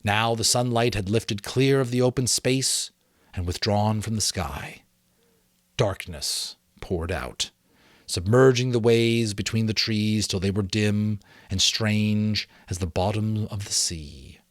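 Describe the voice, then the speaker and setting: A middle-aged man giving a dramatic, expressive audiobook-style reading of a novel in a measured storytelling manner. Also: fairly low pitch, no room sound, clean studio sound. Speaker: amateur audiobook reader.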